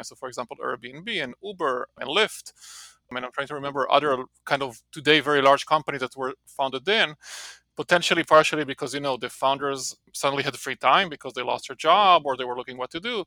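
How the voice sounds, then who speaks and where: A man's voice: fairly high pitch, pushed and bright, dry recording. A man in his forties with an Israeli accent, a professor talking casually from his home office, rambling with many restarts. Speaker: marketing professor, guest. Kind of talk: casual interview talk.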